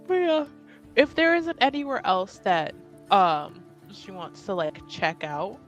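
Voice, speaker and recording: A woman casually narrating her character's actions as a player in a tabletop roleplaying game, in a halting, giggly way. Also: mid-range voice, very animated, highs cut off, faint music behind.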